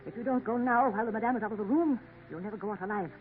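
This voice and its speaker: A woman's voice: fairly high pitch, racing, a little hiss or hum, natural voice. A woman playing a frightened young hostess in a 1950s radio drama, pleading and breathless. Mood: urgent pleading. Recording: narrow lo-fi 1950s radio broadcast.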